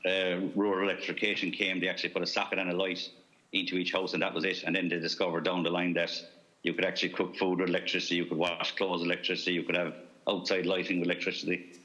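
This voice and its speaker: Man, from Ireland, committee witness, very low voice, bright tone. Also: level tone, fast, poor audio. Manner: plain-spoken, conversational. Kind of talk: formal committee testimony. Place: committee room.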